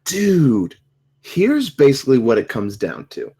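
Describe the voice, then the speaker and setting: Man doing a husky, swooping, dim slacker. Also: medium pitch, huge pitch swings, a little slow, warm tone, heavily acted. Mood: earnest, muddled. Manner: rambling, muddled. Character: dim, rambling, earnest slacker defendant. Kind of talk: table read of a comedy script.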